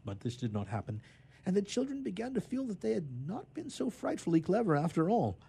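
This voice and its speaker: Animated male storyteller with a mellow tone. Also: high-pitched, brisk, gravelly, breathy edge. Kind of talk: expressive children's story narration.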